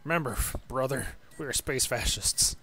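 A man's voice: mid-range voice, normal speed, sharp tone. Joking man narrating a shooter game. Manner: joking, mock-zealous. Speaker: gaming channel co-host. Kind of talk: casual let's-play chat.

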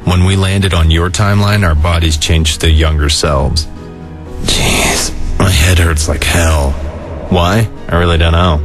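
A man voicing a laid-back, weary and caring skeleton older brother in an animated comic dub, laconic and casual. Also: measured pace, music in the background. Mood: wry. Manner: laconic, casual, low-key.

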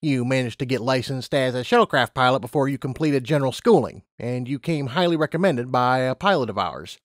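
A man's voice: medium pitch, expressive, fairly quick, dry recording, clean studio sound, natural voice. A man voicing a stern, disdainful aging female unicorn personnel officer in a storybook reading, formal and condescending. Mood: matter-of-fact.

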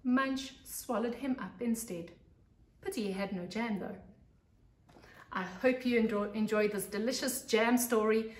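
A South African woman in her thirties reading a children's story with expressive, deliberate emphasis, like a teacher at storytime. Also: on the high side. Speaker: pre-primary teacher. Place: living room on a couch by large windows. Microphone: camera a couple of metres away. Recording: echoey room, camera mic.

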